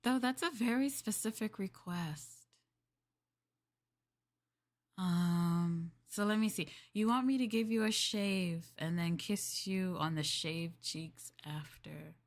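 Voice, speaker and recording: A woman livestreamer in casual roleplay chat, playing a polite, chatty maid and often dropping into soft, breathy near-whispers. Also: fairly low pitch, a little slow, hardly any pauses. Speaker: VTuber livestream host.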